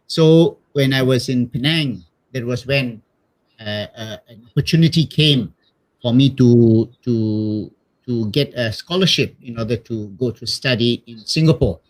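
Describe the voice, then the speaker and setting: A middle-aged priest from Malaysia with a Malaysian accent, telling personal stories casually and haltingly, often repeating words. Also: male voice, medium pitch, poor audio. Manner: hesitant, repeats words, tags with "you know". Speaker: missionary priest being interviewed.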